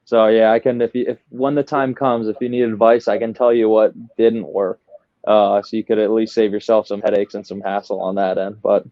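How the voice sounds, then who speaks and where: Low-voiced Midwestern American man, smooth and even. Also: a little fast, dry recording, highs cut off. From US Midwest, outside Chicago. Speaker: podcast guest. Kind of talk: casual interview talk.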